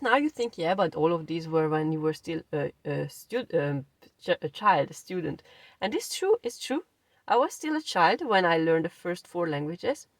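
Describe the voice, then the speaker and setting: A woman's voice: lowish voice, dry recording. A woman in her thirties from Transylvania with a Hungarian accent, a language coach earnestly telling her own story to camera with fillers and self-corrections. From Transylvania, Romania.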